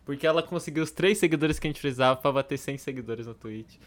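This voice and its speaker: Quick teasing young Brazilian man. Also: mid-range voice. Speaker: podcast co-host. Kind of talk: casual chat on a livestream podcast.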